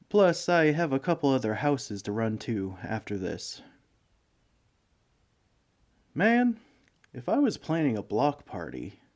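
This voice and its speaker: A man's voice: mid-range voice, a little mellow. A man playing a broke, bumbling young villain neighbor in a comedic audio roleplay, rambling sheepishly and hesitantly as he talks to one listener. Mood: casual, weary. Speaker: roleplay voice actor.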